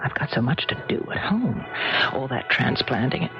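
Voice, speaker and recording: An American actress playing a fussy, irritable housewife who complains fretfully in a 1970s radio drama. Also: female voice, a bass voice, animated, moderate pace, creaky, heavily acted. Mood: irritated. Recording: dull vintage radio recording with music.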